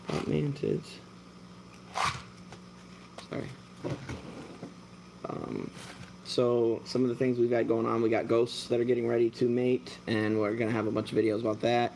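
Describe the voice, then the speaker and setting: A man's voice: medium pitch, creaky, background noise. A man in his thirties chatting casually on a live stream while he works in his shop, rambling with lots of fillers. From born in Germany, raised American. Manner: rambling, relaxed, talks while working, many fillers. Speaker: mantis breeder and shop owner. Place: dim indoor shop at night.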